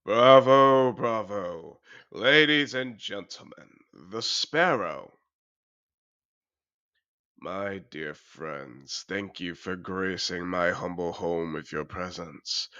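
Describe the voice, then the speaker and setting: Man acting as very deep, seductive aristocrat dragon. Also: slow pace, pushed and bright, no room sound, lo-fi sound, heavily acted. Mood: grand, gracious. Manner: courtly, formal, smooth-talking. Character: suave, seductive male dragon disguised as wealthy aristocrat. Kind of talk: romantic fantasy audio roleplay.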